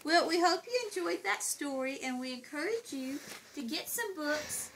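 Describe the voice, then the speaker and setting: A woman's voice: sits high, expressive, moderate pace, noisy background. A middle-aged woman coaching a child through a read-aloud in a casual, encouraging way, prompting word by word. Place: living room, indoors.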